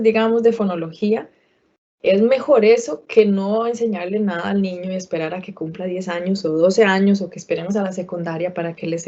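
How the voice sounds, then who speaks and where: A woman answering questions as an expert in an online talk, pausing often with fillers and restarting her phrases. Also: mid-range voice, mellow, lo-fi sound. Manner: hesitant, many fillers and restarts. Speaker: guest expert speaker.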